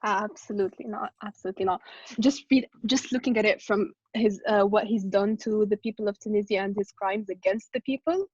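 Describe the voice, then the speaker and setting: Female discussion participant, twenties, medium pitch, conversational opinions, close and lo-fi online sound. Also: fairly quick, slightly rough, no echo. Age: 20s. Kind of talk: casual opinion sharing. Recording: dry, dull online call audio.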